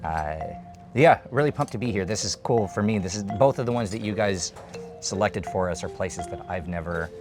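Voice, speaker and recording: A man's voice: fairly low pitch, expressive, normal speed, music in the background. A man in his thirties hosting a food show, chatting and explaining dishes while eating at an open-air stall, on a lapel mic. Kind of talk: casual food chat and explainer.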